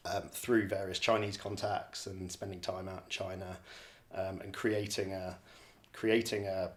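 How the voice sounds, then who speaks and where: A man's voice: deep voice, little pitch movement, measured pace. A man from the borders of Scotland giving a reflective, casual interview answer as a podcast guest, hesitant and often rephrasing himself. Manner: hesitant, repeats and rephrases phrases. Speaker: podcast guest, real estate director.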